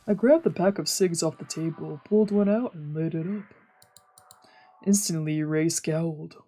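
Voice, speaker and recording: A woman's voice: deep voice, moderate pace, mellow. A woman streamer reading scripted visual novel narration aloud.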